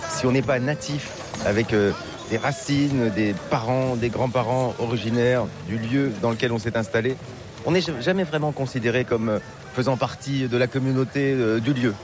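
Un homme mène un entretien et pose des questions longues et reformulées, avec des hésitations. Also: male voice, mid-range voice, moderate pace, music in the background. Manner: wordy, rephrasing questions with fillers. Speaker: interviewer. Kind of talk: casual interview questions. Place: outdoor market. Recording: busy crowd noise outdoors. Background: crowd chatter, passing vehicles.

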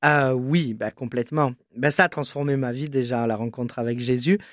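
A man, an interview guest who talks in a relaxed way and pauses on many fillers. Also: mid-range voice, no room sound, clean studio sound. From Marseille, France. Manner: easygoing, many filler pauses, laughs lightly.

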